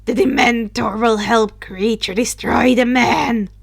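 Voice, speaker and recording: A woman's voice: fairly high pitch, fairly even intonation, a little slow, creaky, husky, full character voice. A woman voicing a fierce, vengeful male house elf servant in a fan fiction reading, vehement and talking in third person. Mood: evil glee.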